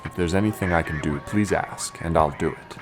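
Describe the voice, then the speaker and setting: An actor playing a gentle, weary and sympathetic chief of police in a horror audio drama, sighing and speaking softly. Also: male voice, low voice, brisk, over music.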